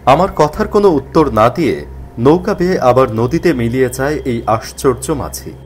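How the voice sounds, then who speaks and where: A man narrating a spooky first-person story in an expressive, dramatized way. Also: medium pitch, moderate pace, a little hiss or hum. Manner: expressive, acts out the character's worried thoughts. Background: faint music.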